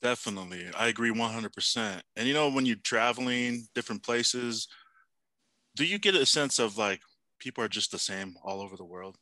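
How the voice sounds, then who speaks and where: A man's voice: medium pitch, animated, brisk, bright, forceful tone, dry recording, rough recording. A man co-hosting a podcast, asking long, rambling conversational questions. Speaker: podcast co-host. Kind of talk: casual interview chat.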